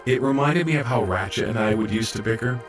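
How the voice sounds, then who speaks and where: A man's voice: mid-range voice, fast talker, far from the mic, soft background music, close to an everyday voice. A man playing a shy, awkward young robot medic in an audio drama, hesitant, stammering and apologetic. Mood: reflective, hesitant. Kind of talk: fan audio drama acting.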